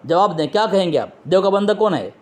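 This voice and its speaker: A man's voice: high voice, expressive, fairly quick. A man interviewing and debating religion on a phone call, pressing his questions insistently and quoting from books. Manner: quotes from books and scripture.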